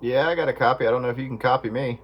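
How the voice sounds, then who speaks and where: A man's voice: mid-range voice, steady tone, racing, muffled recording, over loud music. A man chatting casually over two-way radio in plain, folksy radio slang. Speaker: amateur radio operator. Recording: very noisy radio with static and clicks.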